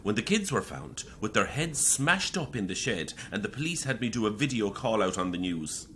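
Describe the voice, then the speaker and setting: A man's voice: on the low side, very animated, normal speed, sharp tone, a little hiss or hum. A man acting an intense, prickly Irish priest and bereaved father in an audio drama, preaching and combative. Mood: prickly, bitter.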